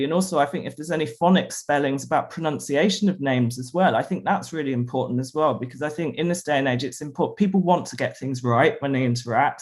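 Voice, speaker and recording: Man, panelist, fairly high voice, relaxed and talkative, video call. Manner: chatty, laughs easily, lots of "you know" and "like". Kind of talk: casual panel discussion.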